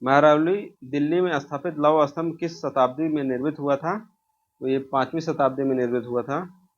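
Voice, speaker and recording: A man's voice: a little high, steady tone, moderate pace, dull audio. A man from India teaches an informal exam revision lesson, posing questions, answering them himself and repeating key answers.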